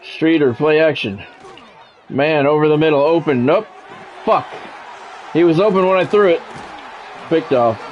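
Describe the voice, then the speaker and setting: A man's voice: a little high, a little slow, pauses often, muffled recording, a little hiss or hum. A man doing football play-by-play for a video game stream in a conversational, blunt way. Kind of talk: football play-by-play commentary with casual reactions.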